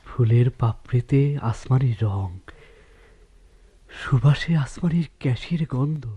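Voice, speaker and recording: Dreamy village poet with swooping voice. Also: male voice, mid-range voice, lively intonation, slow pace, warm tone, slightly rough. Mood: dreamy, lyrical. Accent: rural Bangladeshi. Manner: hesitant, lyrical. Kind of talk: radio drama acting.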